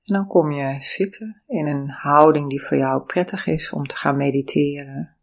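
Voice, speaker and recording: A meditation teacher giving calm, soothing, step-by-step guidance through breathing and body awareness. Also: mid-range voice, moderate pace, creaky. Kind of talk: guided meditation instruction.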